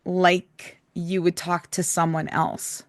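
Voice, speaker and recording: A woman hosting a podcast in casual chat, her voice raspy and hoarse from laryngitis, chatty and laughing often. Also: fairly low pitch, level tone, takes it slowly, dry recording, clean studio sound. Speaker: podcast host.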